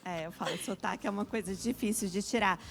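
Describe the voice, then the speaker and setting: Uma mulher brasileira de meia-idade, especialista em educação internacional, explicando com calma e muitos "né" numa entrevista. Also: female voice, medium pitch, quick pace, creaky. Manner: explains at length, frequent "né" tags. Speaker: international education specialist, guest. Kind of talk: explanatory interview talk.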